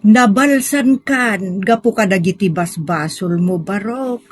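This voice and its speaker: A woman playing a pious, motherly older woman who gives measured moral counsel in an Ilocano melodrama. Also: mid-range voice, breathy edge. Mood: grave, admonishing. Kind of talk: Ilocano radio-style melodrama.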